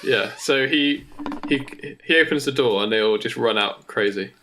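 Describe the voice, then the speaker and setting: A man with a bright, forward voice pitches a story off the cuff on a casual podcast. He is halting and thinks aloud as he builds it, at an average male pitch. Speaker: podcast co-host.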